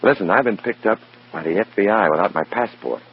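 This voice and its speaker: Deep-voiced, cornered undercover agent. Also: male voice, a little fast, breathy edge. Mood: anxious, urgent. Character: tense, cornered undercover operative posing as another man. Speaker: lead actor and narrator. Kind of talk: old-time radio drama acting, noir first-person narration. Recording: dull, noisy vintage radio recording.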